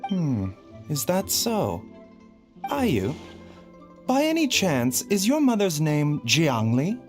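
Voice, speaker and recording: A man playing a gentle, scholarly doctor in a video game, with a soft, smooth voice and polite, formal manner. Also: a little high, wildly swooping pitch, slow pace, over music, exaggerated voice. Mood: curious.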